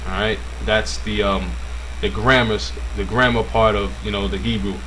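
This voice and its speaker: A man teaching an informal religious language lesson, with a halting, filler-heavy manner. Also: lowish voice, steady tone, a bit bright, slight background noise, poor audio. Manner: casual, halting, many fillers.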